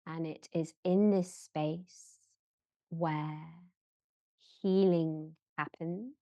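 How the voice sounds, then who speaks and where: A woman guiding a yoga nidra relaxation in a gentle, measured manner, leaving long pauses between short phrases. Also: sits low, steady tone, no echo, lo-fi sound. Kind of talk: slow guided relaxation meditation.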